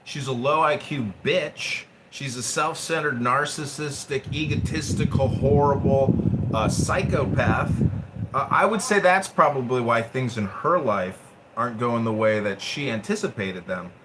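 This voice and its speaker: A man's voice: medium pitch, unhurried. A man hosting a podcast on a social audio app, talking in an abrasive, insulting, rambling way. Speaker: podcast host. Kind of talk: casual combative chat. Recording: loud background noise.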